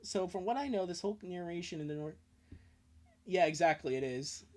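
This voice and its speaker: A man's voice: sits high, lively intonation, brisk, darkish tone. A man doing a casual live comic reading with joking asides, rambling and swearing and breaking off mid-sentence.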